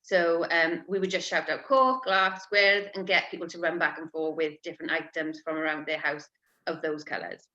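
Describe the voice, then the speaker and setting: Bright-voiced woman on dull audio. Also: deep voice, fairly even intonation, moderate pace. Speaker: webinar co-presenter. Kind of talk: training webinar talk.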